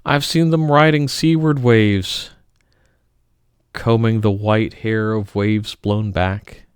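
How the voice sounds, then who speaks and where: A man giving a theatrical, melodramatic poetry reading, swinging between loud outbursts and hushed murmurs. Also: fairly low pitch, steady tone, slow pace, clean tone, no room sound, studio quality. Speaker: poetry reader.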